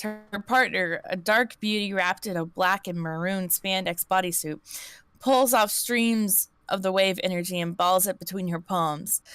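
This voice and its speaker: A woman reads an interactive story aloud on a live stream. She has a smooth, clean voice, recorded dry and close. She stumbles now and then and restarts the word she misread. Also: medium pitch, fairly bright tone, dry recording.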